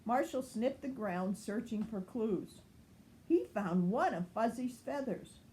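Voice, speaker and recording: Older woman reading slowly and expressively. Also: a little high, animated, a little mellow, a little hiss or hum, rough recording. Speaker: grandmother reading a storybook. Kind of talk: children's story read-aloud.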